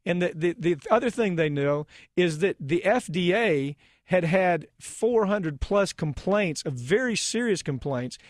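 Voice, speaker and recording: Indignant American radio host, higher-pitched man. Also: expressive, measured pace, few pauses, no room sound, clean studio sound. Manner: emphatic, indignant, rhetorical questions. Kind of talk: radio talk show discussion.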